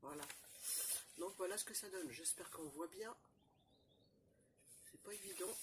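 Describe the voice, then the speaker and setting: A woman with a cold, chatting casually through a crafting demonstration, rambling and filling pauses often. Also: lowish voice, noisy background. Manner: chatty, rambling, constant fillers and "voilà". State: has a cold, stuffy. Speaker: crafting channel host. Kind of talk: casual show-and-tell crafting demo.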